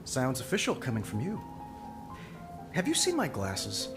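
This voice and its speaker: An actor playing a witty, self-assured male genius inventor in a video game, talking in quick wisecracks. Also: mid-range voice, huge pitch swings. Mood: flirty. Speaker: billionaire inventor hero. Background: loud game music.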